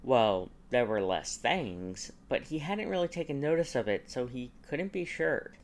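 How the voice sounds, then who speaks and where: Male narrator for fan fiction audiobook, medium pitch, story-reading delivery. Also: expressive, unhurried.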